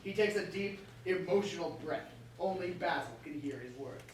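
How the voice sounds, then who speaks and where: A man's voice: on the high side, lively intonation, moderate pace. A man reading stage directions as scripted narration at a staged reading. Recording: roomy, distant mic, faint noise.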